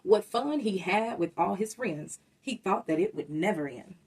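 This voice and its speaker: A woman's voice: mid-range voice, lively intonation, normal speed, a little mellow, breathy edge, recorded from a distance, low-quality recording. A woman reading a rhyming children's story aloud, expressively and with dramatic emphasis. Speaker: storybook reader.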